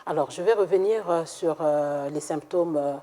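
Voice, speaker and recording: A woman's voice: low-pitched, normal speed, mellow. A woman hosting a TV health programme on a studio set, asking questions and echoing her guest's answers. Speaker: TV show host. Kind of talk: TV health interview.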